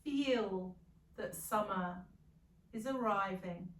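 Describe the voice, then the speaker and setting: A woman leading a guided meditation as a yoga nidra guide, calm, soothing and gentle, leaving long pauses. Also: medium pitch. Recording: roomy echo, distant from the mic.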